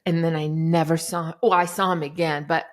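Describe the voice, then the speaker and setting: A middle-aged woman, a life coach guest on a podcast, telling her story in a lively, dramatic way. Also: deep voice, a little fast. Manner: animated, dramatic retelling with acted-out quotes. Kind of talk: casual interview storytelling.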